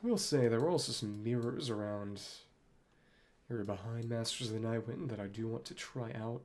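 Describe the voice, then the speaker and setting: A man's voice: mid-range voice, moderate pace, a little mellow, slight background noise. A man streaming a game, musing to himself in short asides and reading dialogue aloud in a casual way. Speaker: gaming streamer.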